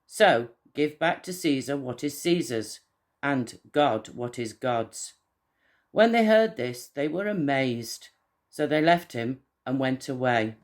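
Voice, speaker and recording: A man reading a Bible passage aloud as a member of a church. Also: medium pitch.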